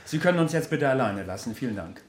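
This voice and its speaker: An actor playing a Nazi ministry official in a radio drama, falsely courteous, smug and insinuating. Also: male voice, mid-range voice, expressive, quick pace, slight background noise, natural voice. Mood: jovial, commanding.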